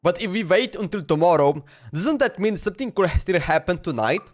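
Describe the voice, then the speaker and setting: A man's voice: sits high, animated, fairly quick. A man giving casual, slangy, rambling live reactions as a game streamer. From Slovenia. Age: 20s. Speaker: game livestreamer.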